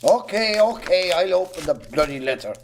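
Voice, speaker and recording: Man acting bitter Irish husband, raised pitch. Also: big pitch swings, normal speed, slight background noise. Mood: furious. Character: stubborn, bitter, bad-tempered Irish husband. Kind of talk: amateur stage drama acting.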